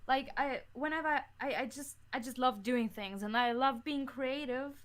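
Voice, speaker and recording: Female podcast guest, fairly high voice, informal chat, a little hesitant. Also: fairly bright tone. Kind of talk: casual chat.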